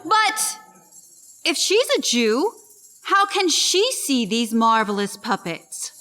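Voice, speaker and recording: A woman's voice: on the high side, big pitch swings, takes it slowly, pushed and bright, heavily acted. An actress playing an indignant, scolding townswoman in a theatrical farce, strident and exaggerated. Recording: reverberant hall, faint music.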